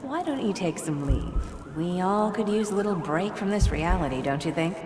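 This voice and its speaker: An actress playing a loving wife in a dreamlike video game memory, speaking softly and tenderly. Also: female voice, lowish voice, lively intonation, normal speed, natural voice. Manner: soft, tender. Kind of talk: video game cutscene acting. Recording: echoey hall with loud music.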